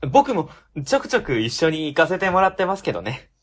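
A man's voice: a little high, sing-song, a little fast, a bit bright. A man playing an easygoing young junior civil servant, casual but polite, in a radio drama.

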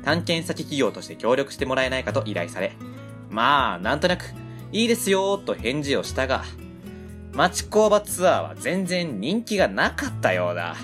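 Cast a woman as a folksy Kansai small-factory owner, late thirties, deep and lively, faint music behind. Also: normal speed, exaggerated voice. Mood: reflective. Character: easygoing 39-year-old male small-factory owner. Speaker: narrator and lead character. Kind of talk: amateur web radio drama.

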